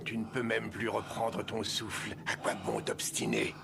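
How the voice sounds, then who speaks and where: Gravelly, cruel alien tyrant. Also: male voice, lowish voice, big pitch swings, normal speed, a crowd in the background, heavily acted. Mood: cold, mocking. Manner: mocking, menacing. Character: cold, cruel, taunting alien tyrant villain. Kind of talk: French anime dub acting. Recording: noisy soundtrack behind the voice.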